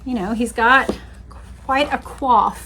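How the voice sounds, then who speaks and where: A woman's voice: fairly high pitch, slow, pushed and bright, a little hiss or hum. An American woman hosting a book readalong, reading history aloud and adding casual, conversational commentary. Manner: conversational, chatty asides.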